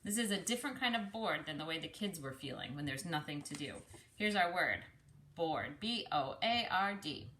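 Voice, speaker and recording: A woman's voice: sits low, expressive, moderate pace, fairly bright tone, a little hiss or hum. A woman reading a picture book aloud in a teacherly way, explaining things and putting questions to the young listener. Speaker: speech-language pathologist reading aloud to children.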